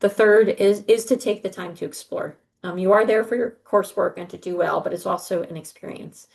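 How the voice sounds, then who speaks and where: Reassuring gravelly-voiced woman. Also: medium pitch, steady tone, brisk, darkish tone. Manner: earnest, reassuring, with frequent ums and uhs. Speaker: study abroad advisor. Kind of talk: informational briefing on a call. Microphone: video call.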